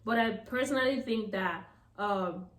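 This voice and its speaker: A young woman from Nigeria chatting with friends, talking in a measured, thoughtful way with hedges. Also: fairly high pitch, fairly bright tone. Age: young adult. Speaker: guest friend. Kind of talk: casual chat. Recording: echoey room.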